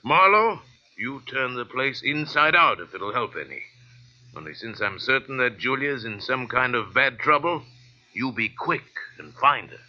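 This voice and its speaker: An actor playing a huge, demanding, worried executive, blustery and impatient, in a 1940s radio drama. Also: male voice, mid-range voice, animated, unhurried, bright, forceful tone. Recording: muffled old radio recording.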